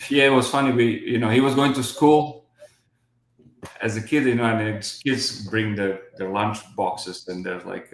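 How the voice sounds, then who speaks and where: Man, fifties, Croatian accent, rambling livestream guest, lowish voice, echoey video-call sound. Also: a bit bright. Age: 50s. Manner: rambling, many restarts and you-knows. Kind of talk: casual livestream chat.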